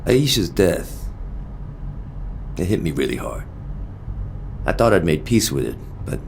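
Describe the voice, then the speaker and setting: An actor playing a loyal, battle-worn American gang lieutenant, streetwise and candid with a gravelly voice, in a game audio log. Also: male voice, rumbling low, a little hiss or hum, full character voice. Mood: grieving, weary.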